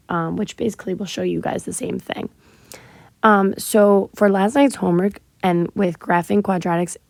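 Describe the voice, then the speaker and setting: A hoarse woman teacher who is sick and has partly lost her voice. She talks through a math lesson patiently and step by step, with a low, gravelly tone. The sound is dry and close. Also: darkish tone, no room sound. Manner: patient, step-by-step explaining with many ums. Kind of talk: recorded math lesson walkthrough.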